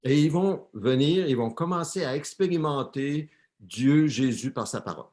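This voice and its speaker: Man, church interpreter, mid-pitched voice, repeats words, self-corrects mid-phrase. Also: lively intonation, moderate pace, darkish tone. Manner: searching, repeating words as he translates. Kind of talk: live sermon interpretation.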